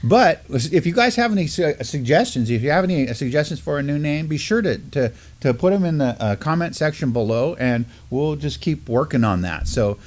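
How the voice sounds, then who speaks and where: A man's voice: fairly high pitch, animated, a little fast, hardly any pauses. A middle-aged man co-hosting a live news talk show, chatting casually from a desk in a small studio, with many fillers and restarts. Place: studio with mottled backdrop, at a desk.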